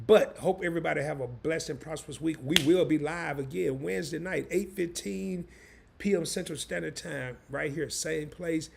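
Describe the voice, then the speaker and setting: A hoarse man hosting a live stream, chatting loosely and in slang straight to his viewers. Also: on the high side, moderate pace, background noise. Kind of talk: casual live stream chat.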